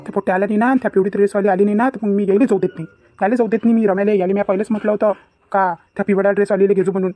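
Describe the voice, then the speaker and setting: Woman as excuse-making village boy, cartoon comedy, hurried speech, Varhadi Marathi accent, excitable, close dry sound. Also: mid-range voice, no room sound, soft background music, natural voice. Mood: flustered. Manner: evasive, blustering.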